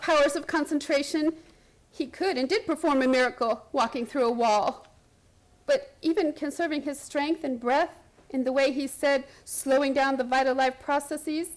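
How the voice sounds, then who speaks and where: A high-pitched actress playing a woman patient in a radio play, haunted and obsessed. She recounts her strange story with theatrical intensity and an urgent, frantic edge. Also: lightly acted, rough recording. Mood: haunted, insistent. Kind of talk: radio play acting, first-person dramatic narration.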